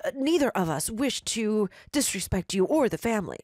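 A woman's voice: mid-range voice, lively intonation, normal speed, a little mellow, dry recording, clean studio sound, close to an everyday voice. Woman doing a stammering, nervous young man. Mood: nervous pleading. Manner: stammering, pleading.

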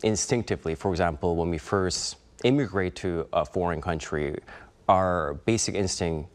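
Man, very low voice, slight Korean accent, thoughtful interview guest, many fillers. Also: normal speed. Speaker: actor and producer guest. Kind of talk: interview conversation.

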